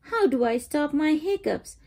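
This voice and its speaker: A woman's voice: high voice, expressive, a little slow, mellow, voice pushed up. A woman voicing a puzzled young storybook kitten, exclamatory and pleading. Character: young cartoon kitten, puzzled, plagued by hiccups.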